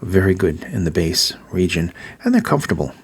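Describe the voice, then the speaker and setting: A man with a Massachusetts accent giving a casual, rambling gear review, filling pauses with ums and uhs and repeating his praise. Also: sits low, very animated, breathy edge. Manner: filler-heavy, repeats praise words.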